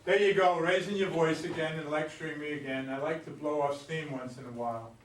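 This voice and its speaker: A man's voice: fairly high pitch, fairly even intonation, brisk, close to an everyday voice. A man reading the part of a brash, world-weary gambler husband in an amateur stage play. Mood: exasperated, defensive. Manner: blunt, colloquial, grumbling. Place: indoor room at a live reading. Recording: echoey room, distant mic, noisy.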